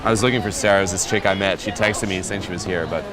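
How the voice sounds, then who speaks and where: A man's voice: deep voice, steady tone, quick pace, over loud music, a loud crowd. A man playfully flirting with a woman on a city street outdoors, joking and giving teasing commands.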